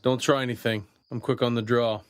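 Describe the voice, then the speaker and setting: A mid-pitched man's voice from an actor as a tough survivor in an audio drama. He is wary and stubborn, with terse, defiant delivery. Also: little pitch movement, a little fast, smooth, lightly acted. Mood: cocky, threatening.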